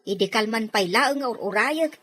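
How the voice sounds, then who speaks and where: A woman's voice: a little high, lively intonation, normal speed, fairly bright tone, dry recording, faint music behind. A Filipino woman playing an outspoken, bold housemaid in an audio drama, with pointed, emphatic delivery. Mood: defiant.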